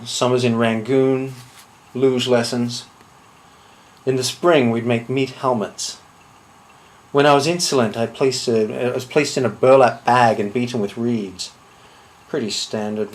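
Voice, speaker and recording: A man playing a self-absorbed eccentric villain in a measured, deadpan comic monologue. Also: mid-range voice, voice pushed down, lightly acted. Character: pompous, deadpan eccentric villain reminiscing grandly.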